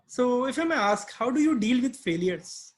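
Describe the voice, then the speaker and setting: A man's voice: very high-pitched, animated, normal speed. A man interviewing a guest, asking simple, direct questions in a casual chat.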